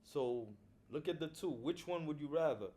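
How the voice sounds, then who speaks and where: A man's voice: a little high, moderate pace, mellow. A middle-aged man, a film producer talking casually and reflectively as an interview guest, using street slang. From Haiti.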